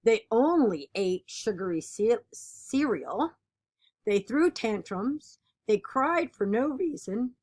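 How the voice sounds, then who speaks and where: A woman voicing an earnest, dutiful egg from a children's picture book, with expressive and deliberate storytelling. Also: on the high side, breathy edge, heavily acted.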